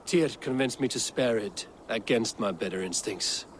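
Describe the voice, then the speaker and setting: An actor playing a proud, brooding Norse high god in a video game, solemn, commanding and suspicious. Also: male voice, normal speed, a little hiss or hum, natural voice. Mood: suspicious, stern. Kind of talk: dramatic video game acting.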